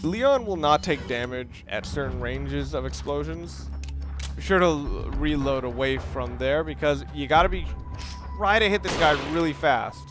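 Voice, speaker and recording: A man's voice: on the high side, expressive, normal speed. A man giving casual, rambling walkthrough commentary on a video game, correcting himself and explaining tactics as he plays. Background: video game music and sound.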